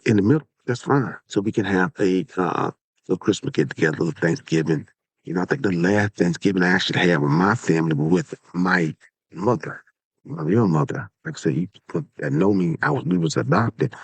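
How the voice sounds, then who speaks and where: A man's voice: fairly low pitch, breathy edge, dry recording. A man in his sixties with a head cold, hosting a casual solo podcast and speaking in an earnest, exhorting manner that circles back over his points. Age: 60s. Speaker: podcast host.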